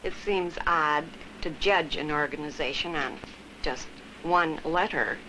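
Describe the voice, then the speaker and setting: Low-pitched woman, noisy recording. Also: measured pace. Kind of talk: documentary interview. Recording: noisy background, old film sound.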